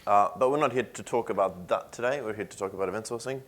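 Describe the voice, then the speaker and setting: A man in his thirties with a Swedish accent presents a technical talk to a conference audience. His voice sits low, and his delivery stays conversational, with frequent filler sounds between phrases. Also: fast. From Sweden. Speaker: conference speaker.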